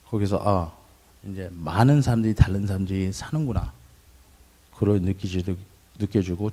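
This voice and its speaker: Middle-aged guy sharing his life story, lowish mellow voice, stops and restarts a lot. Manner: reflective storytelling with pauses and restarts. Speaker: testimony speaker. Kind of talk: personal testimony.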